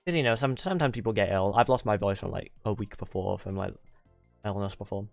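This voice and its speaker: A young streamer with a fairly low voice chats loosely with his viewers, filling his sentences with "like" and stops and starts. His mic sounds close and dry in a home setup. Faint game music plays quietly underneath. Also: quick pace, vocal fry, no echo. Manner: rambling, full of "like" and restarts; venting, exasperated. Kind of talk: casual livestream chat with viewers.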